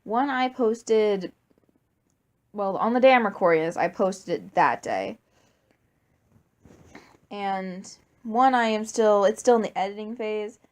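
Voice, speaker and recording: A woman's voice: medium pitch, slow pace, pauses often, a little mellow. Woman, middle-range voice, fan fiction narrator, amateur, informal. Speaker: fan fiction writer and reader. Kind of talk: scripted story narration and casual chat.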